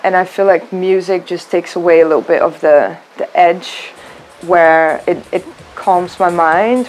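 A woman's voice: on the low side, poor audio. A woman in her twenties, a cyclist, talking reflectively in an interview, often searching for words.

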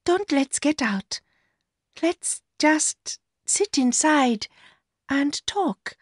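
A woman voicing a timid young woman, meek and hesitant but softly stubborn, in an audiobook story. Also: sits high, animated, drawn-out words, no echo, studio quality, pitched above the performer's own voice, full character voice. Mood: meek, guarded. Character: timid young woman, rich older man's secret lover. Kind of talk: acted dialogue in audiobook story.

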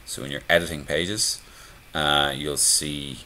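A man giving a relaxed, conversational screencast walkthrough of a website theme, with frequent "uh" and "um" fillers. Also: a bass voice, a little slow, a little hiss or hum. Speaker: theme tutorial presenter.

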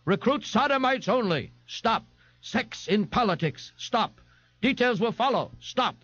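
A man's voice: high voice, expressive, measured pace, a bit bright, breathy edge, dry recording, lo-fi sound, pitched above the performer's own voice, full character voice. A man voicing an earnest, worried woman's written messages in an audiobook, clipped and declaiming. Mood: urgent. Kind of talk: audiobook character voice.

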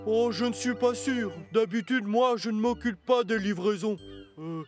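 A man voicing a gentle, hesitant male crane locomotive in a children's cartoon, modest and good-natured. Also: sits high, normal speed, music in the background, close to an everyday voice. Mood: unsure.

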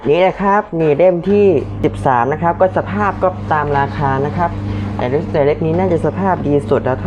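A man giving a casual unboxing review, thinking aloud informally as he handles things, as host of a vlog. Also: fairly high pitch. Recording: dull lo-fi audio, loud background noise.

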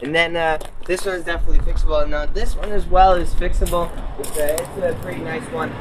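A man's voice: a little high, steady tone, brisk. A young man hosting a casual walkaround video outdoors, upbeat and rambling with lots of fillers. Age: young adult. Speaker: host. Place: outdoor car yard. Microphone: handheld camera. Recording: outdoor yard noise.

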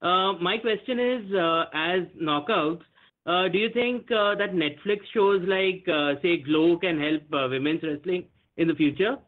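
A male reporter with an Indian accent asks a hesitant question. His voice is high, and it comes through a narrow telephone line. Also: a little slow.

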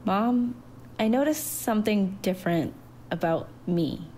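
A woman voicing a thoughtful, anxious daughter, quiet and hesitant, in a narrated story. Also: on the high side, sing-song, takes it slowly, a little hiss or hum, rough recording. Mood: nervous.

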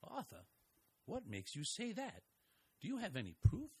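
A man voicing a calm, wry small-town husband with a dry, teasing manner. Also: sits high, normal speed, slightly rough, full character voice. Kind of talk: audio drama acting.

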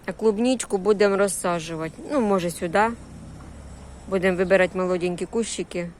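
A woman's voice: on the low side, quick pace, darkish tone, slight background noise. A woman with a Ukrainian surzhyk accent giving a casual, unscripted garden tour in short, homely phrases full of diminutives. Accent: Ukrainian with Russian-influenced surzhyk words. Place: walking through a vegetable garden and greenhouse.

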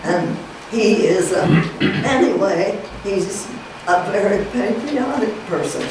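Older woman host, slow and meandering, low-ish voice, heard from afar with hiss. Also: animated. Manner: halting, full of "anyway". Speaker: event host introducing the speaker. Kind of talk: rambling informal introduction. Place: small meeting room, indoors. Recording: echoey room, distant camera mic, hiss.

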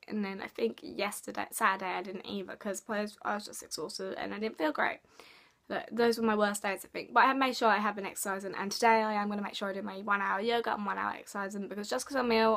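A young woman talks to her laptop camera from her bedroom, her voice hoarse and cracking from illness. She pitches a little high and rambles in a loose, apologetic way, like a casual daily vlog. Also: a bit bright. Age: young adult. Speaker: vlogger. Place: bedroom at home, indoors.

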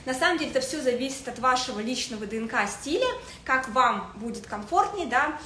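A woman in her thirties giving a casual livestream style lesson as a stylist, speaking haltingly with searching pauses. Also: sits high, animated, pushed and bright. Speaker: fashion stylist hosting a livestream. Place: indoors in a home living room with a desk. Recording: echoey room with faint noise.

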